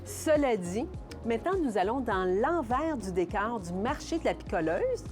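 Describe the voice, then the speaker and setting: A woman's voice: fairly high pitch, big pitch swings, normal speed, music in the background. A woman with a Quebec French accent hosting a local TV magazine, speaking in a polished, enthusiastic presenter style. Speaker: TV host and interviewer.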